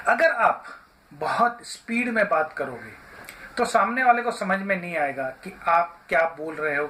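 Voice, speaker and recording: A man giving an instructional talk as a voice therapist, deliberately, phrase by phrase with frequent pauses. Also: high voice, pushed and bright, slight background noise, low-quality recording. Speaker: voice therapist and yoga therapist teaching.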